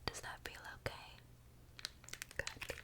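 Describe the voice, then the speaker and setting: A woman speaks in a soft, breathy whisper at a slow, unhurried pace. She plays a friendly, caring mechanic in an ASMR roleplay. Her manner is gentle and reassuring throughout. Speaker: ASMR performer playing a robot mechanic.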